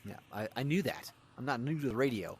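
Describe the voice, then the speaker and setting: A man's voice: medium pitch, very animated, a little fast, crowd noise. A man doing casual, off-the-cuff gameplay commentary while playing a radio DJ. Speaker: gamer, video host playing a radio DJ.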